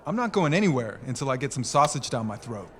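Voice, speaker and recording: A man playing an uneasy American high school boy in an audio drama, talking in casual slang. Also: sing-song, fast talker, natural voice. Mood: sullen, joking. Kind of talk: audio fiction acting.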